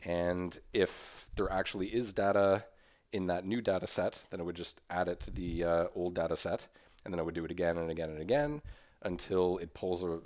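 A man presenting an informal screen-share software tutorial in a hesitant, explanatory way. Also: sits low. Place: voice-over recorded over screen capture. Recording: dull, muffled lo-fi audio.

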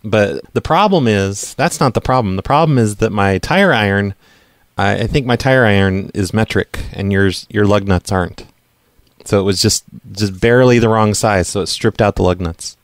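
A man's voice: fairly low pitch, moderate pace, no echo. A man making a prank phone call in casual speech, hesitant and argumentative as he stumbles over his words. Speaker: prank caller, show host.

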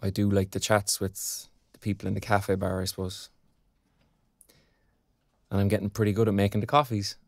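An actor with an Irish Cork accent playing a restless, sarcastic young graduate in a naturalistic audio drama. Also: male voice, fairly low pitch, flat delivery, moderate pace, long pauses, dry recording, lightly acted. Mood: chatty. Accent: Irish, County Cork. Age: young adult. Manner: colloquial, sardonic.

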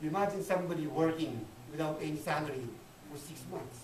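A man's voice: fairly high pitch, fast. A man with a Filipino accent giving a live church conference presentation, emphatic and repeating his phrases. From Philippines. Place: dim indoor conference room. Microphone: camera in the audience, at a distance. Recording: roomy, distant camera mic with noise.

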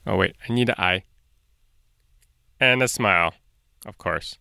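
A man's voice: on the low side, measured pace, creaky, bright, forceful tone, clear, resonant tone, dry recording. A man, perhaps in his thirties, hosting a casual step-by-step drawing lesson for children in a playful way, talking to himself as he draws. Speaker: drawing tutorial host for children.

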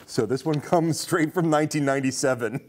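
A man's voice: fairly high pitch, a little fast. A man in his thirties hosting a casual film discussion, talkative and enthusiastic. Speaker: host of a film discussion show. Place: indoor studio stage with curtain.

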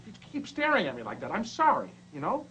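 An actor playing a harried, placating tour manager in a comic film, pleading and hurried. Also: male voice, sits high, huge pitch swings, muffled recording. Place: small crowded backstage dressing room. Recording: very noisy room sound.

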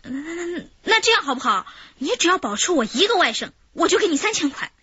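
A woman's voice: very high voice, sing-song, quick pace, bright, forceful tone, no room sound, full character voice. An actress playing a scheming older woman who poses as a kid's great-aunt, fawning and chuckling as she coaxes and bargains in a radio drama. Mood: wheedling. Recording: slightly dull broadcast audio with faint hiss.

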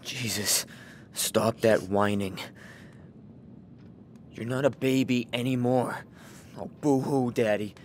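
A man's voice: mid-range voice, fairly even intonation, takes it slowly, pauses often, a little hiss or hum, close to an everyday voice. An actor playing a bitter, volatile grieving father in a video game, sarcastic and swinging from mutters to outbursts. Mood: hostile.

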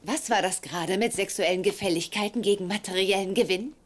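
A woman's voice: mid-range voice, fairly quick, noisy background, close to an everyday voice. A woman voicing a blunt, mocking young woman in a German sitcom dub, slangy and sarcastic. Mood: indignant, blunt.

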